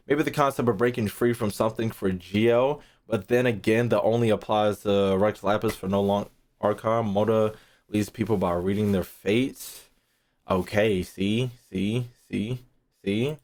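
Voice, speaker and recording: A man thinking aloud as a gaming streamer, casual and slangy, swearing freely, repeating phrases and trailing off mid-thought. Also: lowish voice.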